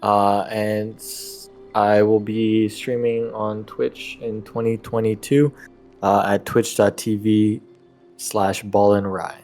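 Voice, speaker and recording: A man's voice: lowish voice, flat delivery, slow, a little mellow, soft background music. A man who plays in a tabletop role-playing game, talking casually. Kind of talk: casual chat.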